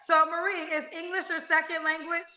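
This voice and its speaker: A woman's voice: very high-pitched, little pitch movement, normal speed, pushed and bright, roomy sound, slight background noise. A woman hosting a dating show, asking a guest casual, slangy questions. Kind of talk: interviewing a guest.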